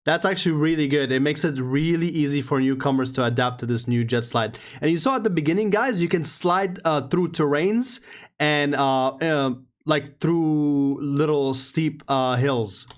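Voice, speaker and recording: A man giving casual, informal and excited reaction commentary as a gaming YouTuber. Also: fairly high pitch, normal speed.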